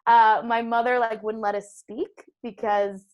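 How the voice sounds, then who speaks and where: A woman's voice: on the high side, level tone, measured pace, darkish tone, clean tone, muffled recording. A woman guest on a podcast, telling stories casually with many "likes" and giggles. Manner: chatty, giggly, lots of "like". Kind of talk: casual storytelling chat. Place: alone at home.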